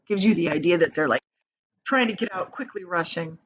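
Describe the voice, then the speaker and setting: A woman's voice: on the low side, a little fast, muffled recording. A woman reading a children's story aloud like a teacher, stressing descriptive words dramatically and adding casual asides.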